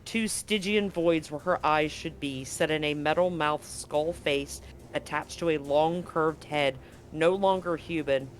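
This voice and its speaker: A man's voice: fairly high pitch, measured pace. A man game master narrating a tabletop horror game in vivid, graphic detail with slangy asides.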